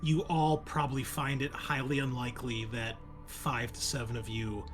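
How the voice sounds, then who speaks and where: A man explaining a tabletop roleplaying scenario as game master, speaking in a hesitant, halting way. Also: medium pitch, level tone. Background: background music.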